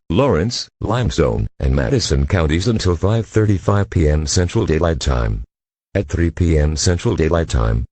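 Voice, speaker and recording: A man with an extremely deep voice reads emergency weather warnings from a script. His delivery is formal and impersonal, with a husky, rough tone. The sound is dry and close. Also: darkish tone, no room sound. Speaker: emergency alert announcer.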